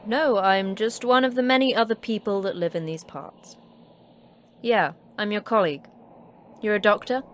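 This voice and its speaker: A woman performs a terse female facility boss in a sci-fi horror audio drama, with a middling pitch over clearly audible background noise. She is dry and sarcastic, handing out clipped instructions with little patience. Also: moderate pace, close to an everyday voice. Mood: sardonic. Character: terse, sardonic woman managing a secret Antarctic facility. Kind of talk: audio fiction acting.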